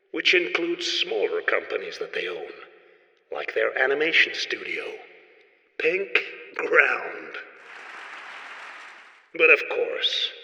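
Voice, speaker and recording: A man's voice: mid-range voice, vocal fry, bright, forceful tone, dull audio, exaggerated voice. Man playing a pompous villain tycoon, big echoing hall. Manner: pompous, deliberate, declamatory. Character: gloating male villain tycoon, now a head in a tank. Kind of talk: theatrical public speech in an audio play.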